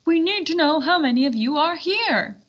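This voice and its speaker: A woman's voice: high voice, lively intonation, moderate pace, dull audio, pitched above the performer's own voice. A woman voicing an eager, take-charge medieval knight giving directions in a storybook. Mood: commanding. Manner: proclaiming, directing.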